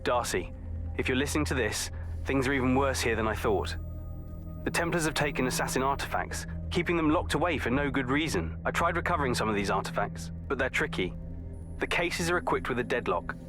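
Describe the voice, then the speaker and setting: A man's voice: medium pitch, lively intonation, brisk, music in the background, natural voice. An actor playing a determined, headstrong young male assassin leaving an earnest briefing in a video game audio log. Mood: concerned, earnest.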